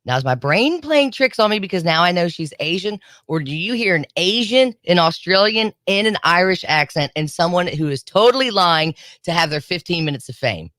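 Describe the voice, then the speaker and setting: A woman co-hosting a morning radio show, teasing and quick to laugh in casual banter. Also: fairly low pitch, expressive, a bit bright, no room sound, clean studio sound. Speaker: radio co-host. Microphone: studio microphone.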